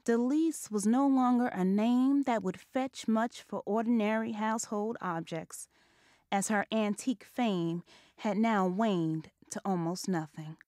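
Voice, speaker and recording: A woman playing the narrator of a ghost story audio drama, telling it in a measured, literate way. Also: mid-range voice, warm tone, dry recording, clean studio sound, natural voice. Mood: composed.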